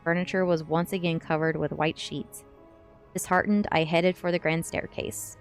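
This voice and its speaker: A woman reading a first-person story aloud in a measured ASMR narration style. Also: on the low side, little pitch movement, soft background music. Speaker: streamer reading a novel aloud.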